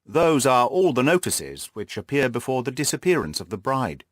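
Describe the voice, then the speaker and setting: An earnest, orderly gentleman companion from an audiobook, played by a male reader, speaking with measured care. His mid-pitched voice moves expressively, recorded dry. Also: sing-song, no room sound, natural voice. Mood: measured, informative. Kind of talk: audiobook character voice.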